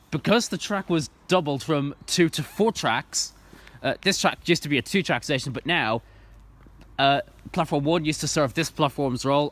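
A man's voice: on the high side, a bit bright. A young man from Ireland filming at an outdoor train station platform on a phone, narrating casually and eagerly with lots of ums and restarts. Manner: rambling, full of ums and restarts, enthusiastic. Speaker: trainspotting vlogger. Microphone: phone camera held by the filmer.

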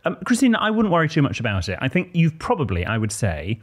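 Husky, animated podcast co-host man. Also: on the high side, fast talker. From Bristol, England. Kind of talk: casual chat and reading listener letters. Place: small podcast studio, indoors. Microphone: close studio mic on boom arm, headphones.

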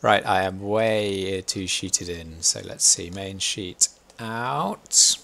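A man's voice: low-pitched, slow, vocal fry, fairly bright tone. A young man leading a sailing club game session on a headset mic, chatty, laughing often and thinking aloud as he plays. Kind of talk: casual instructive chat while gaming.

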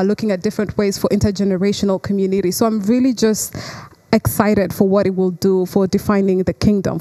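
A woman's voice: mid-range voice, dark tone, dry recording. A young woman from Kenya, interviewed on a church stage, speaking thoughtfully into a handheld microphone with fillers and restarts. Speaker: campus director, guest. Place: church stage, seated on stool.

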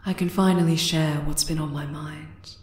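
A woman voicing a regal, possessive yet tender spider queen in an ASMR roleplay, soft and deliberate in manner. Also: sits low, steady tone, close to an everyday voice. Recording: roomy, echoing cave-like reverb.

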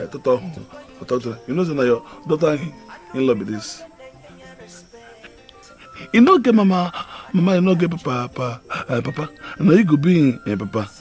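Man acting anxious village husband, Nigerian accent, halting, repetitive, pleading, film drama, faint music. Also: mid-range voice, darkish tone, lightly acted. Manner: halting, repetitive pidgin delivery.

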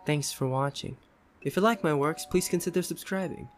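A young man with a slightly high voice delivers a scripted outro as a channel creator. Faint music plays behind him. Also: moderate pace. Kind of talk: scripted video sign-off.

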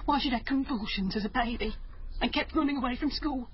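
An actress playing a resentful, distraught young daughter in a naturalistic radio drama. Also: female voice, high voice, fairly quick, dull audio, slight background noise, natural voice. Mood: bitter accusation. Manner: resentful, emotional. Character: embittered, distraught daughter confessing and accusing her mother.

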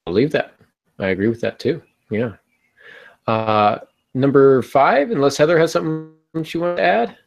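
A man's voice: mid-range voice, moderate pace, vocal fry. A middle-aged man hosting a casual writing discussion over a video call, feeling his way through his points. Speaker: podcast host and novelist. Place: small home room, on a video call.

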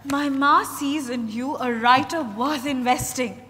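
Actress as troubled Indian daughter, stage drama, high voice, reverberant hall with clear background noise. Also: female voice, a little slow, fairly bright tone. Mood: hurt, accusing. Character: troubled, idealistic daughter, wounded and accusing. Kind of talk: dramatized stage reading of a play. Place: large hotel ballroom stage. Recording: reverberant hall, noisy room ambience.

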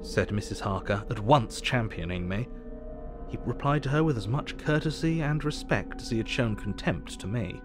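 An actor playing an earnest asylum doctor narrating his diary in measured, formal English. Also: medium pitch, animated, music in the background, close to an everyday voice. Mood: reflective, uneasy.

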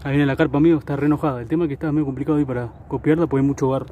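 A man's voice: mid-range voice, fairly even intonation, very fast, dark tone, a little hiss or hum. A young man with an Argentine Spanish accent, chatting casually to camera as a fishing vlogger on a riverbank, often tagging his sentences with "eh". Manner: chatty, upbeat, tags sentences with "eh". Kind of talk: casual outdoor fishing narration. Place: riverbank outdoors.

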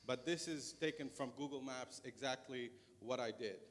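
A man's voice: mid-range voice, normal speed. A man in his thirties with a Lebanese accent gives an informal presentation as a conference speaker in a large lecture auditorium. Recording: reverberant lecture hall, background noise.

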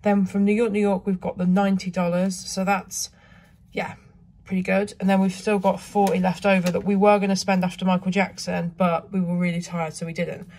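Croaky-voiced woman vlogging casually. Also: medium pitch, flat delivery, fairly quick, darkish tone. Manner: chatty, rambling, with frequent ums. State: croaky voice from a cold. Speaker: travel vlogger. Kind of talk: casual vlog chat.

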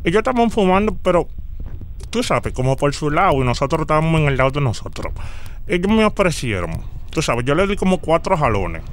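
Casting: male actor as anxious young Dominican caller, higher-pitched, very animated intonation, hesitant and rambling, for phone-prank comedy. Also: a little fast. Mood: hesitant, confiding. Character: nervous, rambling young man worried about a job drug test. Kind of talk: improvised prank phone call, casual chat.

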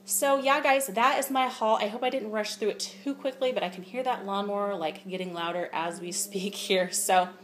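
A woman's voice: mid-range voice, steady tone, moderate pace, few pauses. A woman in her thirties chatting casually through a grocery haul, filming on her phone indoors at home and reading prices aloud between fillers. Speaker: vlogger. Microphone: phone camera held close.

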